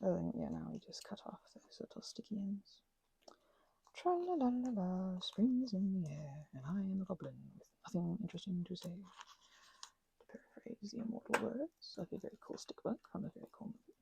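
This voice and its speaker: A woman talks her way through a crafting session at home, her voice mellow with a gravelly edge. She drifts from hushed whispers to animated, higher bursts and pokes fun at herself. A faint hiss sits under the recording. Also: a little fast, low-quality recording. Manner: chatty, self-deprecating, drifting between whispers and bursts of talk. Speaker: crafting ASMR creator. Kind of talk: rambling craft chat while working.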